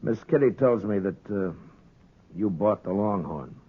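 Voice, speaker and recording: An actor playing a terse, authoritative frontier marshal with an American accent in a 1950s radio western. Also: male voice, on the low side, lively intonation, measured pace, breathy edge, narrow, tinny audio. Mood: guarded, dry. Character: stern, laconic frontier town marshal, steady authority. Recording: thin old radio broadcast recording.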